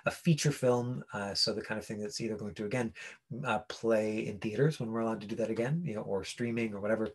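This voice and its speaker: A man with a husky voice working as an acting coach, giving an informal online lesson in a halting, rambling way. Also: lowish voice, fairly even intonation. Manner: halting, rambling explanations full of tangents. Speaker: acting coach teaching a class.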